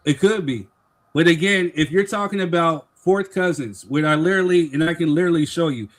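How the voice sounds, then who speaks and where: A man's voice: a little high, a little fast. A man co-hosting a live stream talk show, explaining his view earnestly in a casual debate. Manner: earnest, explains with "you know what I'm saying".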